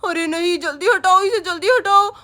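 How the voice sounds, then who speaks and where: A tearful, injured mother, played by a woman with a very high voice. She pleads and sobs for help with desperate urgency. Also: moderate pace, bright, forceful tone. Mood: pleading.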